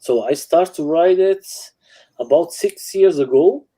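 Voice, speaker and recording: A man from Portugal speaking halting English as a guest, with many fillers as he tells stories. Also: on the high side, mellow, husky. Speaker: guest rider. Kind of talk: casual chat in non-native English. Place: home.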